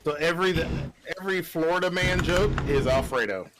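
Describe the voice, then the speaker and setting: A man's voice: on the high side, a little fast. A man in his forties chatting in an easygoing, joking way over voice chat. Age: 40s. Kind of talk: casual voice chat while gaming. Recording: noisy voice chat.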